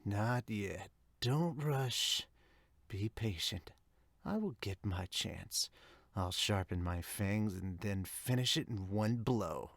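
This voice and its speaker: A man voicing a coldly patient, predatory young golf rival with a slow, low, deliberate delivery. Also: animated, gravelly, no echo, heavily acted. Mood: patient, menacing.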